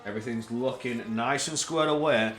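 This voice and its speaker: A man doing solo live-stream race commentary, energetic play-by-play that climbs in pitch at tense moments, with chatty asides in quiet spells. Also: moderate pace, roomy sound, slight background noise.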